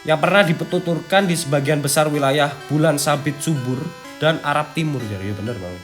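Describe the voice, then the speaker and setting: A man with a fairly high voice and an East Javanese accent chats casually as a video host. He mixes read-out passages with slangy asides and interjections. Music plays behind him. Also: a little fast. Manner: slangy, peppering talk with interjections. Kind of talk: casual chatty commentary, partly reading out an article. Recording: background music under the talk.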